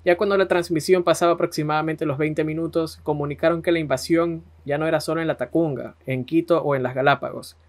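A man narrating conversationally as a podcast host. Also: a little high.

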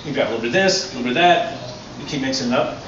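A middle-aged man, a chef, explaining a dish in a relaxed, enthusiastic way with frequent restarts, on an outdoor restaurant patio. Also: mid-range voice, racing. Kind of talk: cooking demonstration. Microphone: far camera. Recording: echoey, distant, dull, noisy outdoor audio.

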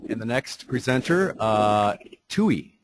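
A man's voice: mid-range voice, expressive, slow, background noise. A man hosting a meeting, announcing speakers informally. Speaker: meeting host.

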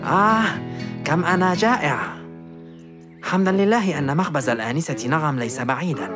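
A woman voicing a cheerful, polite male fox professor and inventor in an animated children's story. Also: low voice, fairly quick, music in the background, lightly acted. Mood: friendly. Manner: friendly, upbeat and courteous.

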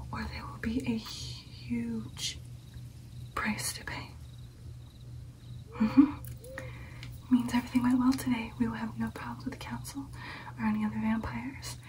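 A young woman playing a tender, powerful vampire girlfriend in an ASMR roleplay, with a soft, breathy, deliberate delivery. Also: a little high, far from the mic, lightly acted, poor audio. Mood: pleased, reassuring. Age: young adult. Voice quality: breathy whisper. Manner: soft, intimate, reassuring, deliberate. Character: tender, powerful female vampire girlfriend addressing her coven.

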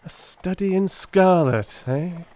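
A man playing a brilliant, brisk detective in a dramatised storybook, commanding, precise, excitable and impatient. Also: sits high, voice pushed up. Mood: excited, elated.

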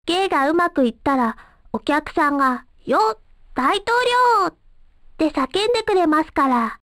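Very high-pitched, polite young girl. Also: expressive, moderate pace, a bit bright, dry recording, clean studio sound. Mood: bright, encouraging. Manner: polite, guileless, girlish. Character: polite, naive, cheerful young girl street performer. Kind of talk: video game voice acting.

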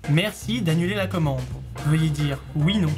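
A man playing a robotic automated order line, speaking in a scripted, measured, impassive way. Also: a little high, over music, voice pushed down, natural voice. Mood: robotic, insistent.

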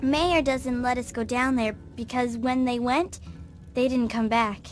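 Woman playing innocent, high-pitched little girl. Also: steady tone, measured pace, faint music behind, natural voice. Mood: curious, innocent. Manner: wide-eyed, childlike. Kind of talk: video game dialogue.

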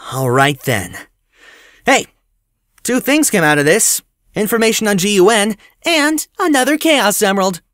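A woman's voice: mid-range voice, big pitch swings, moderate pace, a bit bright, dry recording, clean studio sound. A woman playing an earnest, protective young hero in an audio drama, speaking insistently. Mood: puzzled.

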